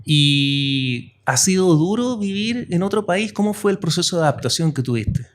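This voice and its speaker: Casting: male podcast host, Chilean accent, fairly high voice, interview delivery. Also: expressive, moderate pace, slight background noise.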